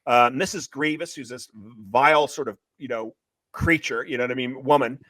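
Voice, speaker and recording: A man talking as an interviewed author and screenwriter, retelling a story in an excitable, emphatic, repetitive way. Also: mid-range voice, normal speed, no echo. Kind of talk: animated promotional interview talk, retelling a story.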